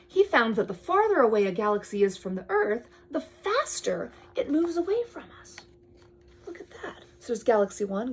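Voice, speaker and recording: A woman reading aloud to a child with chatty asides, expressive and full of wonder, often dropping to a whisper. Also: fairly high pitch, gravelly, slightly rough.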